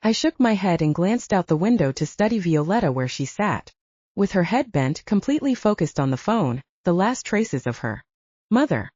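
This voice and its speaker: A woman's voice: fairly low pitch, very animated, normal speed, darkish tone, no echo, muffled recording. A woman narrating a first-person story as a scripted audiobook reading.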